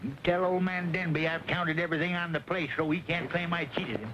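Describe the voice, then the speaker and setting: An actor playing a feisty, folksy rancher with a drawl in an old Western, scrappy and quick to fight. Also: male voice, fairly high pitch, fairly even intonation, lightly acted. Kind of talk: old Western film acting. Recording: muffled, hissy old film soundtrack.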